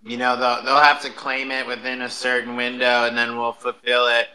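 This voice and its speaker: A man's voice: mid-range voice, monotone, normal speed, pushed and bright, poor audio. A man hosting a casual live chat in an informal way. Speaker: host.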